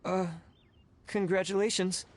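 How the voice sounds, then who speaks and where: A man's voice: high-pitched, flat delivery, measured pace, a little hiss or hum. A man playing a meek, agreeable young man in an anime game, speaking mildly and hesitantly. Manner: hesitant, mild. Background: game music.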